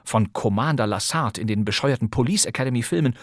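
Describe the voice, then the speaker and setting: A man hosting a radio-drama podcast, narrating a script in a lively, chatty way and speaking straight to the listener. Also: mid-range voice, fast talker, no echo, clean studio sound. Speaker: podcast host. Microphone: close studio microphone.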